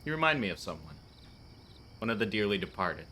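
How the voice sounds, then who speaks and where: A man's voice: fairly low pitch, sing-song, brisk, pushed and bright, a little hiss or hum. A man acting an earnest, lonely policeman in a radio play. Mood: probing, earnest. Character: earnest lonely policeman, grieving his dead fiancée.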